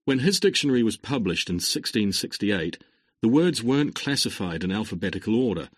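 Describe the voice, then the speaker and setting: A man giving a lecture for a listening exam, with clear, measured delivery that lifts on linking words. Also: lowish voice, lively intonation, dry recording. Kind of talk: scripted exam-recording lecture.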